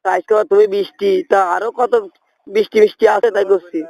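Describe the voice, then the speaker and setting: A man with a high, gravelly voice and a rural Bangladeshi accent. He is making a prank call, wandering and repeating himself as if confused. The sound is close and dry. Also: level tone, a little mellow, no echo, rough recording. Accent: rural regional Bangladeshi. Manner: feigns confusion, repeats himself, haltingly evasive. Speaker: prank caller. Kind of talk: rambling prank phone call.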